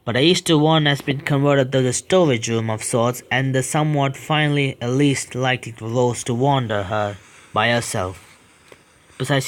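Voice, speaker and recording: Young man reading a horror game aloud. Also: medium pitch. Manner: stumbling reads, swearing and joking asides. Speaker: game streamer. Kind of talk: reading a visual novel aloud with commentary.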